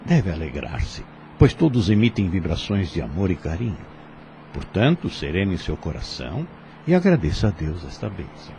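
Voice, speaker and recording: A man's voice: low voice, big pitch swings, normal speed, warm tone, a little hiss or hum. A Brazilian man playing a serene, fatherly spirit instructor in an audio drama, speaking formally and consolingly as he teaches. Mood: gently consoling.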